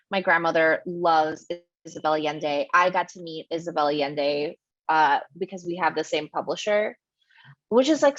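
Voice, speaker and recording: A woman's voice: fairly low pitch, fairly bright tone, no room sound. A woman from Ecuador, raised in New York, answering questions as the guest author at a virtual book event, thoughtful and digressive with many fillers. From Ecuador, raised in Queens, New York. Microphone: video call. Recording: dry, muffled video-call audio.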